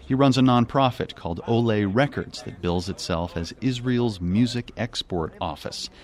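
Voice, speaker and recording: A man narrating a radio news report in a measured, scripted delivery. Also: fairly low pitch.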